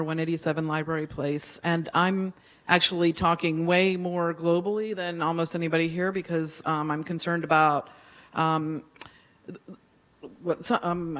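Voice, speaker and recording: A woman resident giving an earnest, slightly hesitant public comment at a town council meeting, speaking into a podium mic with a loud voice. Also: fairly low pitch. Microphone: podium microphone.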